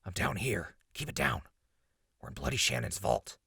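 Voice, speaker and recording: An actor playing a captured radio host in a post-apocalyptic audio drama, urgent and stubbornly hopeful, with a gravelly, husky voice. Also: male voice, mid-range voice, expressive, normal speed, pushed and bright, dry recording, clean studio sound, exaggerated voice. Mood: urgent, hushed.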